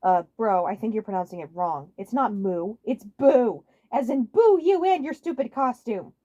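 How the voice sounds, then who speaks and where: A woman's voice: on the high side, expressive, normal speed, dark tone, dull audio, pitched above the performer's own voice. A woman voicing a grumpy, sarcastic, embarrassed cartoon man with an exasperated, grumbling manner, in a fan fiction story.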